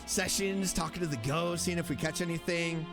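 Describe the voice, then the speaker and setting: A woman doing casual, playful let's play commentary, reacting with drawn-out exclamations. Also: lowish voice. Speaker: VTuber game streamer. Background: game music.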